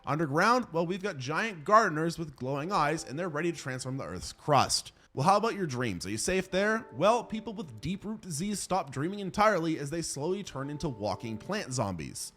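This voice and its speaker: A man's voice: a little high, big pitch swings, moderate pace, few pauses, fairly bright tone. A man narrating a scripted horror countdown as host, dramatic and emphatic with sardonic asides.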